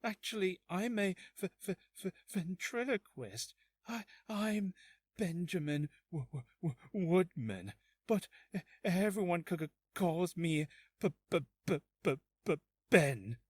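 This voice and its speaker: A man's voice: sits high, lively intonation, unhurried, a little mellow, dry recording. A man voicing a timid, nervous ventriloquist who speaks hesitantly and stutters, for a character reel.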